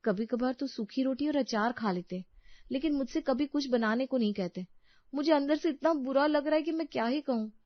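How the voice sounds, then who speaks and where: A woman playing a gentle, anxious and dutiful young daughter-in-law in a family story, speaking politely and earnestly. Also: sits high, a little fast, dry recording, dull audio, close to an everyday voice. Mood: troubled. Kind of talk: acted dialogue in a Hindi family story.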